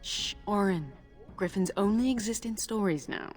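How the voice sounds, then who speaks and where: Casting: woman as wry, reproving young lady of a castle, mid-pitched, fantasy game scene over music. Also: normal speed, lightly acted. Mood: concerned. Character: dry-witted, scolding young noble wife and mother. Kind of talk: video game voice acting.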